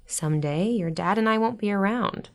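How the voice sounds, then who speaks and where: A woman playing a warm, gently teasing middle-aged mother in a naturalistic audio drama, giving earnest advice. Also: mid-range voice, normal speed, creaky, lightly acted. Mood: earnest, reassuring.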